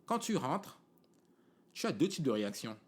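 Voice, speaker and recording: A man's voice: mid-range voice, big pitch swings, normal speed, breathy edge. A man in his forties from Cameroon with a Cameroonian French accent, hosting a podcast in a casual, rambling monologue full of fillers and "tu vois" asides. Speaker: podcast host.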